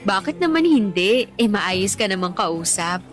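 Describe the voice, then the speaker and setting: Candid, talkative woman teacher and single mother, casual radio drama delivery, mid pitch, music bed. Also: very animated, measured pace. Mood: chatty, open. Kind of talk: Tagalog radio drama dialogue. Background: background music.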